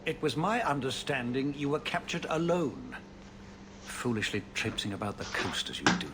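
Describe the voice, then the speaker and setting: A man's voice: medium pitch, big pitch swings, normal speed, natural voice. An actor playing a careworn, cautious city ruler and father in a fantasy video game, speaking in a formal, stately way. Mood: worried, reproving. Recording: loud ambient noise behind.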